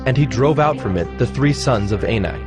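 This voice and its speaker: A man narrating scripture from a script in a measured, formal manner. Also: mid-range voice, lively intonation. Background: music.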